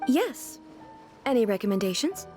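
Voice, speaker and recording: Casting: regal, earnest video game shogun. Formal and curious, higher-pitched, animated intonation, light music behind. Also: female voice. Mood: composed, curious. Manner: formal, measured phrasing, matter-of-fact. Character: dignified, naively literal female almighty shogun, earnest and curious. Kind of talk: English video game voice acting.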